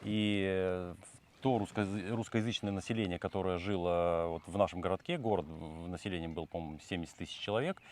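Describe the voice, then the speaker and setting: Man, middle-aged, from Tajikistan, deep voice, hesitant, thinks aloud, casual vlog. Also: brisk, darkish tone, a little hiss or hum. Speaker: channel co-host.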